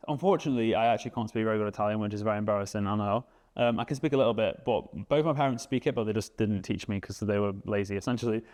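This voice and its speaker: A man, chatting casually as a guest, relaxed and rambling with lots of fillers. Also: fairly low pitch, racing, few pauses. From England. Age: 20s. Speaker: guest, vegan cook. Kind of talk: casual interview chat.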